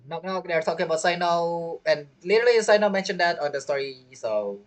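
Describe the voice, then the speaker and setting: A man's voice: fairly high pitch, expressive, brisk. A young man giving casual, informal live commentary as a gaming streamer, reacting wryly.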